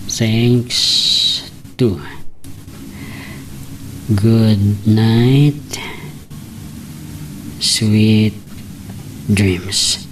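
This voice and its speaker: Man playing slow-talking lovestruck Ilocano suitor. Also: lowish voice, a little hiss or hum. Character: lovestruck young professional architect, earnest and tender suitor. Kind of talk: Ilocano radio-style romantic drama acting.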